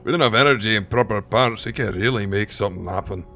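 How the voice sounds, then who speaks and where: A man's voice: on the low side, fast talker, sharp tone, pitched below the performer's own voice. A man voicing a tired, contented dwarven engineer in a fantasy audiobook. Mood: pleased. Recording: music behind the voice.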